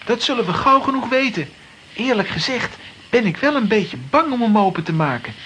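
A woman's voice: fairly high pitch, sing-song, moderate pace, background noise. A woman playing a cautious but decisive young boy hero in a children's audio drama, speaking earnestly. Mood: wary.

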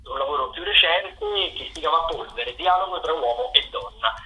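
A man with a bright, forward voice presents radio arts listings. He speaks hesitantly, often reaching for the next word. The recording is roomy and echoey, with faint noise behind him. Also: on the high side, animated. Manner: halting, hesitant, searching for words. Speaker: radio theatre correspondent. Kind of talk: spoken listings of theatre and dance events.

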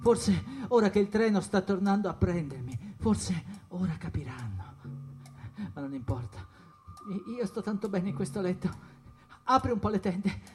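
An actor playing a tormented, restless jazz musician in a theatrical, impassioned radio monologue. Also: male voice, sits high, expressive, moderate pace, a little mellow, soft background music. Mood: restless urgency. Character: tormented, drug-worn male jazz musician, restless and defiant.

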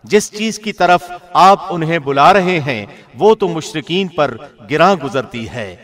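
A man reading a scripture translation as a formal scripted narration, in a measured, solemn manner. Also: on the high side, expressive, a bit bright.

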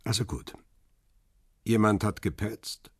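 An actor playing a wry, stubborn photographer who narrates and speaks in a crime radio drama, with a dry, confrontational manner and a husky, rough voice. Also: male voice, fairly low pitch, slow, dry recording. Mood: sardonic, pressing.

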